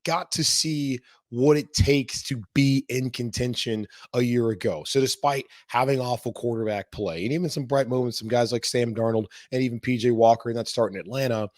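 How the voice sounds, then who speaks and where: Hoarse man, casual sports podcast host, mid pitch, dry booth. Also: moderate pace, few pauses, darkish tone, dry recording. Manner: casual, rambling.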